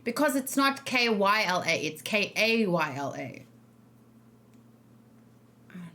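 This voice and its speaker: South african girl streamer, chatting casually with her stream, medium pitch. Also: very animated, measured pace, pushed and bright. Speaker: streamer reacting to game trailers. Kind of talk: casual livestream chat.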